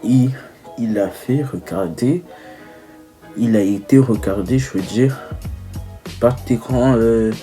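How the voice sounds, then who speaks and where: A young man giving casual, teasing video commentary, halting and correcting himself as he goes. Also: mid-range voice, mellow, soft background music. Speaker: video creator.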